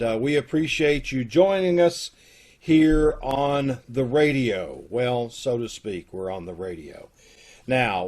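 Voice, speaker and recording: A man hosts a live video call from his home. His voice sits at an ordinary middle pitch, and he talks in a loose, folksy way with plenty of fillers. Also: darkish tone. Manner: folksy and rambling, with many fillers. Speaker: host of a community theater troupe.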